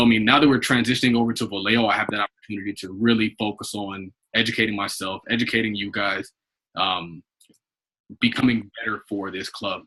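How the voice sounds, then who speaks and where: American guy running an informal group call, kinda deep husky voice, rambles along conversationally. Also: sharp tone, poor audio. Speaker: investment club leader hosting a conference call. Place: online group video call.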